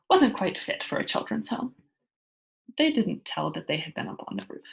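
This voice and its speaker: A woman reading a children's story aloud in an expressive storytelling style. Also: a little high, breathy edge, low-quality recording. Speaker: children's book reader.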